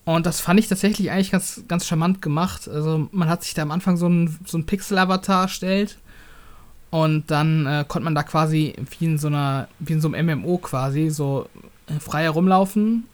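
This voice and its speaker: A young man co-hosts a relaxed podcast chat. His voice sits a little higher than most men's. He hesitates often, filling gaps with ums as he works out his thoughts. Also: brisk. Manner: hesitant, many ums and restarts. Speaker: podcast co-host.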